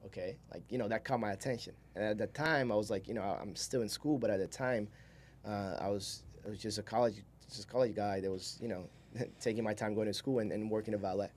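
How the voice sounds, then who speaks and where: A young man with a fairly low voice tells stories as a podcast guest. He talks informally and wanders between thoughts. There is faint noise behind him. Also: fairly even intonation, fast. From Brazil. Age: 20s. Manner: informal, rambling, chuckles while talking. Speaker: podcast guest, solar salesman. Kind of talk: casual storytelling in an interview.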